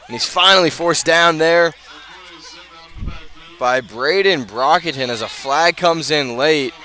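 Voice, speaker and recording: Bright-voiced male football play-by-play announcer. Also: fairly high pitch. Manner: builds from measured narration to raised, excited calls. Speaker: play-by-play sports commentator. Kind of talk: live American football radio commentary. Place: outdoors at a high school football field. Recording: noisy outdoor stadium, live broadcast.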